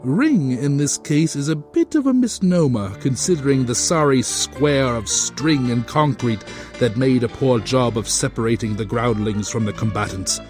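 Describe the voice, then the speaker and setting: A man's voice: medium pitch, animated, normal speed, over music. A man narrating a comedy audio drama with wry, sardonic asides.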